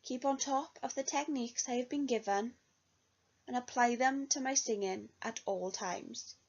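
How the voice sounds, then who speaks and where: Gravelly young woman reading a self-review. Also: fairly high pitch, normal speed, a little mellow. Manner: earnest, read-aloud delivery. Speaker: music student. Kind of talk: reflective spoken self-review. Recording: dull lo-fi recording.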